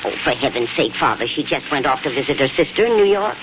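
Animated, fondly chiding mother. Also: rumbling low, quick pace. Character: brisk, practical mother, fondly scolding her husband. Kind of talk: 1940s radio drama acting. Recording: muffled, noisy 1940s radio recording.

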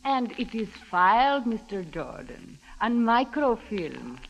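A cold, haughty young villainess from a vintage radio drama. Her highish voice moves slowly and menacingly, with big swings of pitch. The sound is dull and reverberant. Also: sing-song, vocal fry, exaggerated voice. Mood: smug, cold. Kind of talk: 1940s-50s radio drama acting. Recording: muffled old radio recording, reverberant.

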